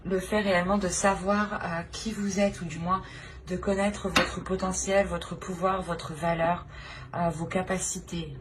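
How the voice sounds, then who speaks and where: Woman doing a tarot reading off the cuff, lowish voice, keeps rephrasing, some background noise. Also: little pitch movement, unhurried. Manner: hesitant, searching, repeats and rephrases phrases. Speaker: tarot reader, channel host. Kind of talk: unscripted tarot card reading to viewers.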